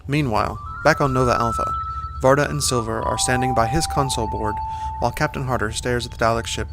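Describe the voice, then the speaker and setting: A man's voice: mid-range voice, brisk, vocal fry, loud music behind the voice. A man narrating an audio drama from a script, describing scenes in the present tense.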